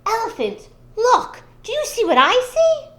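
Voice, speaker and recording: A woman's voice: very high voice, wildly swooping pitch, unhurried, fairly bright tone, roomy sound, voice pushed up, heavily acted. A woman voicing a sly, greedy, short-tempered male trickster spider in a storybook, with eager, insistent delivery. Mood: excited.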